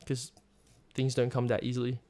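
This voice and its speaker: A young man co-hosting a podcast, chatting casually, relaxed and curious, with lots of "like"s. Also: mid-range voice, moderate pace. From Australia. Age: young adult. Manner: asks questions, relaxed, heavy use of "like". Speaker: podcast co-host. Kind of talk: casual chat.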